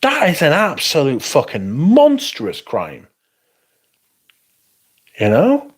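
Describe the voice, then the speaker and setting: A man's voice: fairly high pitch, huge pitch swings, slow pace, husky. A man hosting a livestream, reading out chat and bantering in a sweary, sarcastic way.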